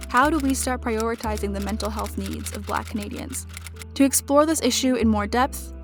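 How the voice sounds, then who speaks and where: A woman reading scripted narration in an even, formal way. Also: a little high. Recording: music underneath.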